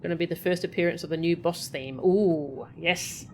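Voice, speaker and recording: A woman streaming a game, with a slightly low voice and a casual, playful delivery. She chats and mutters as she plays, over faint background noise. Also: normal speed, darkish tone. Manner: chatty, playful, mutters to self and chat. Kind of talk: casual live gameplay commentary, reading out game dialogue.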